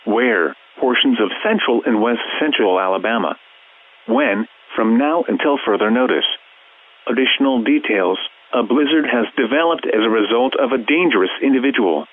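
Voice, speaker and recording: A man's voice: mid-range voice, sing-song, normal speed. A synthetic male voice with an American accent, formally and impersonally reading scripted emergency weather alerts like a radio announcer. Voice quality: synthetic text-to-speech voice. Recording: muffled, phone-like weather radio broadcast.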